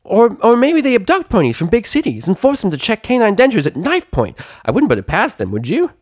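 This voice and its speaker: A hyperactive young female pony acted out by a man gushes through a story in long, rambling runs. The voice is pushed high and swings up and down like an excitable cartoon party girl. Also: a little fast, no room sound, studio quality, heavily acted. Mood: excited rambling. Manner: gushing, rambling, endless run-on chatter. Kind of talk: animated fan fiction character reading.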